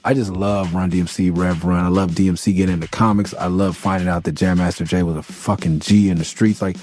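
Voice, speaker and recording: A man's voice: low voice, quick pace, soft background music. A man from Los Angeles with a nasal voice, hosting a podcast and telling loose, profane stories about making his album. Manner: loose, profane, animated retelling with quoted voices. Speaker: podcast host and rapper.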